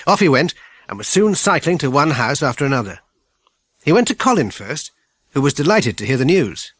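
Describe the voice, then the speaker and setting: A man with a British accent reading a children's audiobook in measured, clearly phrased storytelling. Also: a little high, sing-song, fairly bright tone. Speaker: audiobook narrator. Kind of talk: scripted children's story narration.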